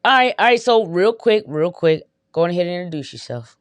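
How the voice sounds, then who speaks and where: A woman's voice: lowish voice, sing-song, fairly quick, no room sound. A woman hosting a podcast in a hyped, slangy, upbeat way, casually chatting with her guest. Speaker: podcast host. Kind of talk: casual interview chat.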